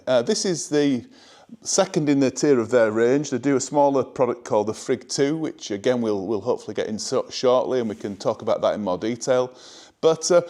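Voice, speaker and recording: Male showroom presenter, mid-range voice, informal and measured sales pitch with real enthusiasm. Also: darkish tone. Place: indoor hi-fi showroom.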